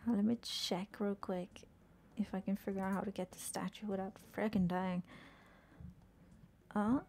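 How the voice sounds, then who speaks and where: Soft, gravelly young woman streamer. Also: mid-range voice, steady tone, unhurried, a little hiss or hum. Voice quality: soothing soft voice. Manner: murmuring to herself, often dropping to a whisper. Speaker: game streamer. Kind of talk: casual gameplay commentary.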